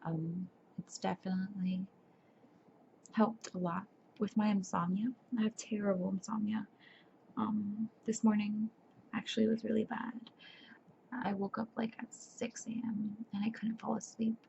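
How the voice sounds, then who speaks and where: A young woman speaking softly for ASMR, tired and sleepy, who stumbles over words and corrects herself as she reads aloud. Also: mid-range voice, little pitch movement, unhurried. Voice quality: soft, breathy. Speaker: ASMR creator. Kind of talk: soft-spoken ASMR chat and reading aloud. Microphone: webcam. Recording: dull lo-fi webcam audio with faint hiss.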